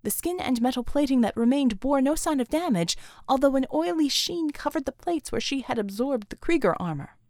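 Higher-pitched woman narrator, dry close sound. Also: animated, a little fast, hardly any pauses, darkish tone, dry recording. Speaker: audiobook narrator. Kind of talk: scripted dramatic action narration.

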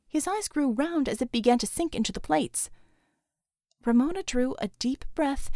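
A woman's voice: sits high, no room sound, clean studio sound. A woman reading scripted action fiction as a dramatic audiobook narrator.

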